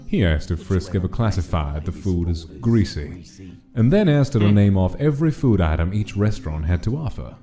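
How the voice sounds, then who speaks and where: A man's voice: low-pitched, dark tone. A man reading scripted, dramatic story narration for a comic dub.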